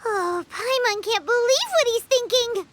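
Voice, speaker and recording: Video game fairy sidekick: extremely high childlike squeal, animated pitch, excitable, caring, loud background effects. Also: female voice, a little slow, exaggerated voice. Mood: curious. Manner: speaks of herself in third person, exclamatory. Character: tiny childlike excitable fairy companion girl, cheeky and caring. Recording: game sound effects behind, clear noise.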